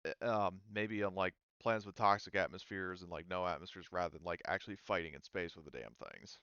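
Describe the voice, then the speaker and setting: A man working as game master, casually explaining tabletop game rules in a halting, rambling way. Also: deep voice, steady tone, pushed and bright, clear, resonant tone, dry recording, highs cut off.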